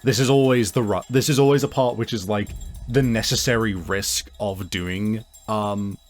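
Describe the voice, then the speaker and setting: A man's voice: fairly low pitch, normal speed. A man narrating a tabletop roleplaying game as game master, improvising and often searching for his words, with music behind him.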